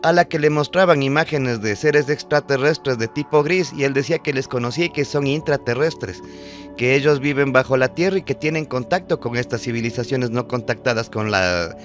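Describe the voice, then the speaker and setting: A man's voice: mid-range voice, a little fast, soft background music. Man hosting a paranormal interview. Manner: attentive, brief affirming interjections. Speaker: channel host. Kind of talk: conversational interview.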